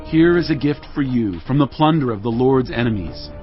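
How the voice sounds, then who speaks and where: A man's voice: mid-range voice, expressive, moderate pace, natural voice. A man playing a devout, resolute warrior leader of ancient Israel in an audio Bible drama, earnest and commanding. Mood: firm. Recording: dull audio with music behind.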